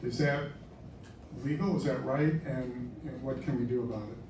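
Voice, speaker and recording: A man's voice: mid-range voice, normal speed. A man moderating a community talk puts questions to the speaker plainly, with a few hesitant "uh"s. He is far from the mic in a very echoey room, with noise audible behind him. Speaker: event moderator. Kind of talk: asking questions at a public talk.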